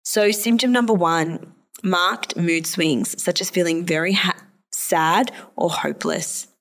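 A woman podcast host and psychologist explaining a topic in a solo episode, her voice a bit hoarse and nasal from a sore throat. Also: fairly low pitch, takes it slowly, fairly bright tone.

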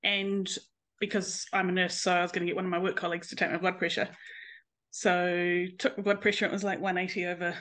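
A woman's voice: fairly low pitch, flat delivery, a little fast, a bit bright. Woman, late 30s, New Zealand accent, frank, laughs easily, interview guest. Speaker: guest, nurse and mother. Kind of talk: personal story in interview.

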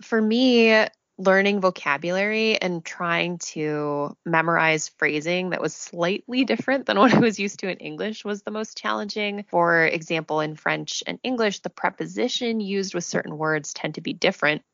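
A woman with a lowish, gravelly voice talks in a thoughtful, measured way and laughs lightly now and then. She is recorded dry and a little muffled, with no room sound at all. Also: a bit bright, no echo. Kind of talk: conversational discussion.

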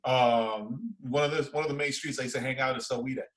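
A man, chatting casually and excitably as a podcast co-host, using slang and repeating phrases for emphasis. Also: medium pitch, fairly quick, rough recording. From Far Rockaway, New York, United States. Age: 40s. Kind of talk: casual fan chat, reviewing and reacting.

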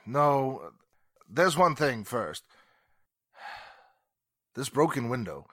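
A man's voice: mid-range voice, very animated, very slow, sharp tone, no room sound, clean studio sound, full character voice. A man playing an embarrassed, apologetic, hesitant townsman in a 1940s radio drama.

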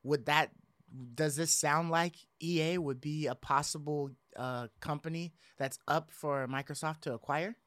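A man's voice: fairly high pitch, steady tone, unhurried, fairly bright tone, no echo. A man hosting a casual gaming chat, talking in an informal, slangy, rambling way. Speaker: podcast host.

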